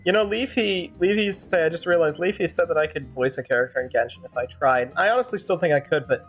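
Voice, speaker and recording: A woman's voice: deep voice, quick pace, faint music behind. A woman game streamer reacting casually, with wry, chatty asides.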